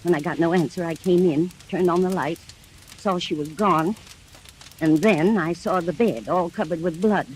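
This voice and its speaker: An actress plays an anxious woman caregiver in an old radio mystery. She testifies earnestly and deliberately, ready to accuse, over a faint layer of background noise. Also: lowish voice, darkish tone, lightly acted, rough recording. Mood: grave. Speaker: witness in a mystery drama.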